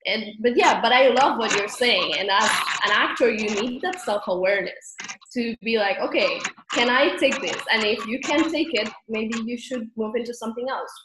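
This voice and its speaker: A woman's voice: fairly high pitch, normal speed. A woman from Spain with a Spanish accent, a guest speaking in a casual interview and laughing often as she talks. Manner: animated, laughs often while talking. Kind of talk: conversational interview. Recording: echoey room with background noise.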